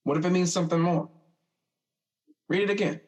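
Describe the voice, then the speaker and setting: A man's voice: sits high, steady tone, brisk, darkish tone, natural voice. An actor playing an encouraging, poetic older brother in an audio drama, coaxing as he speaks. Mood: gently urging. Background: music.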